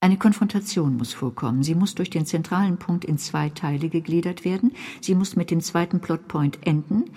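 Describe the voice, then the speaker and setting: An actress playing a strict lecturer who recites formulaic rules in a radio drama. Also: female voice, on the low side, moderate pace, hardly any pauses, dark tone, husky, faint music behind. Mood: prescriptive. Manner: prescriptive, formulaic. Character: female script-rule lecturer, strict and formulaic.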